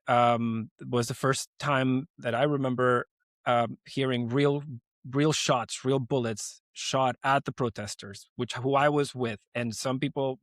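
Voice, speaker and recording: A man in his forties from Venezuela, a guest on an interview podcast, talking in an animated, emphatic way with many restarts. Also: mid-range voice, no room sound. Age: 40s. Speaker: guest, lending company founder.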